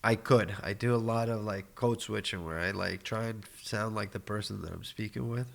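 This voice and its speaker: A fairly low, gravelly male voice belongs to a Spanish-speaking man in his thirties, born in Argentina. He tells stories to a podcast host in a loose, rambling way. He chuckles as he goes and restarts his sentences often. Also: moderate pace. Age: 30s. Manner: rambling, chuckles mid-story, many restarts. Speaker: podcast guest. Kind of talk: casual storytelling chat.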